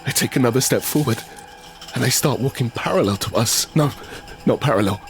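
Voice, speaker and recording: A tense survivor of a zombie apocalypse , acted by a man, speaks in a mid-range voice. The delivery is suspenseful first-person audio drama in short, deliberate phrases, with music behind it. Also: medium pitch, close to an everyday voice. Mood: tense, hushed. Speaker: narrator and lead character in audio drama. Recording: background music under the voice.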